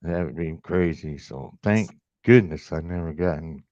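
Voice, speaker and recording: A man's voice: sits low, moderate pace, darkish tone, no echo. An older man with an American accent, talking casually as a caller in a chat. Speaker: caller, truck driver. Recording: dull lo-fi call audio.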